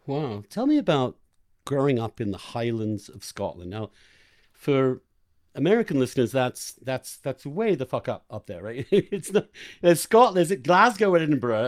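A man hosting a conversational interview podcast, speaking in an enthusiastic, emphatic and informal way. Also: medium pitch. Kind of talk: conversational interview with a spoken introduction.

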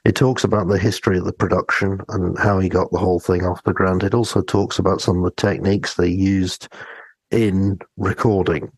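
A man co-hosting a podcast in casual, discursive conversation, with a gravelly, husky voice. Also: deep voice, level tone, fairly quick, a little mellow, no room sound, poor audio. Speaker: podcast co-host.

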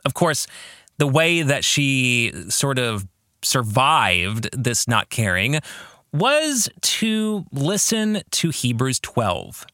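Male podcast host, reflective commentary, highly varied pitch, dry close sound. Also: big pitch swings, extremely slow, sharp tone, dry recording.

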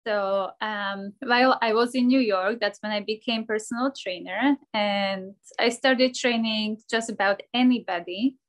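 A woman's voice: mid-range voice, unhurried, hardly any pauses. Cheerful woman dancer. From Slovakia. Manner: cheerful, laughs often, drops articles. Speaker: ballet dancer and personal trainer. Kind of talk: casual interview answers.

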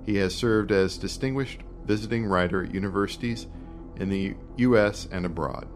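A man's voice: fairly low pitch, normal speed, soft background music. A man reading scripted announcements as a podcast announcer. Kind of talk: scripted narration.

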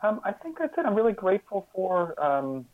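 A man answering as an interview guest in an earnest, conversational way, halting often and restarting as he searches for words. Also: high-pitched, big pitch swings, no room sound, narrow, tinny audio. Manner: halting, hesitant, searching for words with repeated false starts. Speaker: podcast guest, author and caregiving mentor.

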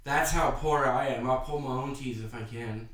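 A man with a mid-pitched voice sits back from the mic in a very reverberant room. He talks like a podcast co-host, casual, crude and joking. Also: normal speed, a bit bright. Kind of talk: casual chat. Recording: echoey room, distant from mic.

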